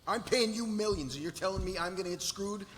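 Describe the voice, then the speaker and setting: A man's voice: sits low, expressive, a little fast. A man playing an angry, foul-mouthed, demanding corporate client in a live script read, blunt and combative. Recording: echoey hall, noisy room sound.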